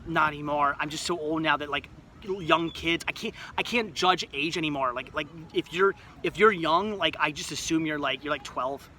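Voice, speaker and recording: Casting: man, late thirties, high bright voice, rambling self-mocking livestream host, faint outdoor noise. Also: normal speed. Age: 30s. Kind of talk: casual livestream chat reading comments. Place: outdoors at a resort.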